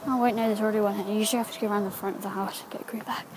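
A teenage girl with a croaky voice, chatting rambly into a phone held at arm's length, saying "like" a lot. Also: mid-range voice, fairly even intonation, rapid-fire, background noise. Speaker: vlogger. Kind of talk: casual vlog. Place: bedroom, then back garden.

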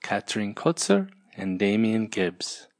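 A storyteller reading a children's picture book aloud in a measured, expressive way. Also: deep voice, no echo. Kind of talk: scripted children's storybook narration.

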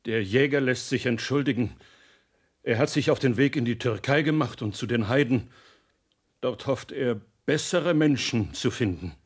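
An actor playing a devoted, anxious royal servant in a fairy-tale radio play, earnest and deferential. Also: male voice, medium pitch, moderate pace, husky, no echo, clean studio sound, lightly acted. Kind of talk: German radio drama acting.

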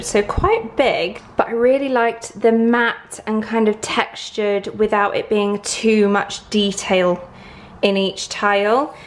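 A woman's voice: mid-range voice, a little hiss or hum, rough recording. Chatty woman vlogging a renovation. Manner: chatty, enthusiastic, lots of likes and ums. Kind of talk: casual home renovation vlog. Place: house under renovation, indoors. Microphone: camera held or set close, front-facing.

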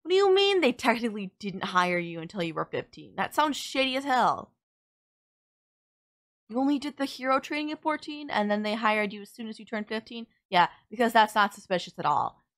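A woman's voice: on the high side, lively intonation, a little fast, fairly bright tone. A woman playing a sardonic villain nemesis in an audio roleplay, scolding and teasing the listener in an exasperated but caring way. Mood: indignant.

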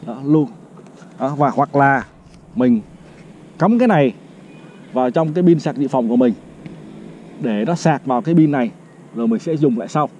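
A man's voice: medium pitch, lively intonation, moderate pace, pauses often, darkish tone, slight background noise. A middle-aged man on a city rooftop with a lapel mic, chatty, walks through a product review step by step with frequent fillers. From Vietnam. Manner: chatty, explains step by step, fills with "đó" and "vâng". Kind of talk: casual product review vlog. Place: rooftop terrace outdoors in a city. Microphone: clip-on lapel mic. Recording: slightly dull lo-fi outdoor sound.

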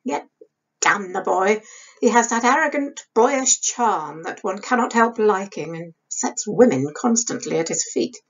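A woman's voice: mid-range voice, expressive, unhurried, husky. A woman voicing a wry, teasing older male actor and husband, in dry formal period speech for a novel. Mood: musing, grudging. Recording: dull lo-fi webcam sound.

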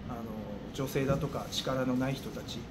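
A middle-aged man giving interview answers as a gym coach, thinking aloud with hesitant pauses. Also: mid-range voice, flat delivery. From Japan. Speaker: gym owner and martial arts coach. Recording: clearly noisy room ambience.

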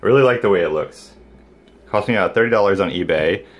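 A man with a low voice narrates a hands-on hobby video. He speaks informally and with enthusiasm, addressing viewers directly. It sounds like a homemade vlog recorded in a room at home. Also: quick pace, a little hiss or hum. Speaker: DIY video maker, host. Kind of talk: casual hobby project vlog, talking to viewers. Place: indoors, small home room.

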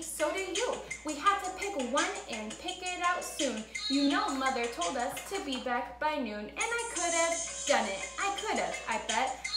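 A young woman reading a children's story in a playful, singsong, rhyming delivery, as a preschool storyteller. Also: high voice. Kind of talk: rhythmic children's story reading over a beat. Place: small room indoors, at a table. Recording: echoey room with music beat. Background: hip-hop beat.

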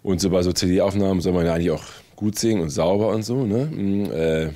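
A man, a bass singer, slightly hoarse from a cold, talking in a self-mocking, chatty way. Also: a little fast, dark tone. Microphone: studio microphone in hotel room.

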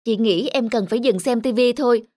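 A woman's voice: fairly high pitch, a little fast, no echo, clean studio sound, lightly acted. A young woman voicing a kind, patient student tutor in a cartoon. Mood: firm. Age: 20s. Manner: gentle, patient. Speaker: cartoon character. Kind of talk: cartoon voice acting. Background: clear background music.

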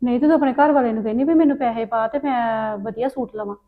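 Skit role: woman playing a preachy know-it-all auntie, higher voice, dull lo-fi sound. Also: fast, natural voice. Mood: lecturing. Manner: lecturing, stern. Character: meddling elder woman giving unwanted advice.

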